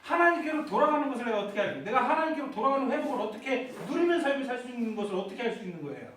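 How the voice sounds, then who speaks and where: A man preaching in a church sanctuary, his voice sitting unusually high. He keeps putting questions to the congregation and climbs into fervent, shouted prayers. The sound is very echoey and comes from some way off the mic. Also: big pitch swings, racing. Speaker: pastor. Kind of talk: sermon. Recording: echoey church hall heard from far off.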